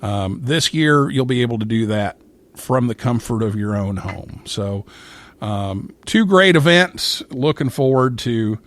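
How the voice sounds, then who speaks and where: Halting male talk show host, dry close sound. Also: mid-range voice, animated, no echo. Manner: halting, repeats words. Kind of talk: casual live interview show talk.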